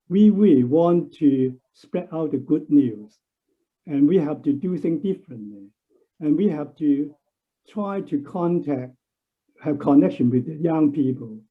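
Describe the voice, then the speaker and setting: A man from a church congregation speaking earnestly on a video call, often pausing to search for words. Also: a little high. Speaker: church member. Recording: tinny phone-like line.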